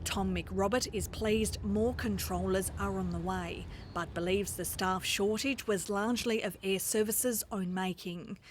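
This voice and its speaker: A woman with an Australian accent narrating a television news report in a measured, clear voice over a music bed. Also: mid-range voice, steady tone, slight background noise.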